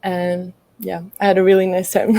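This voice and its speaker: A woman's voice: mid-range voice, little pitch movement, normal speed, creaky, mellow, dry recording. A young woman from Greece, a college volleyball player answering interview questions casually, hesitant with many ums and frequent laughs. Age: young adult.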